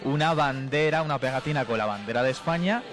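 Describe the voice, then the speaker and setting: A man's voice: medium pitch, fast talker, fairly bright tone, soft background music. A man from Spain doing a live on-location interview as a radio reporter, chatty and jokey.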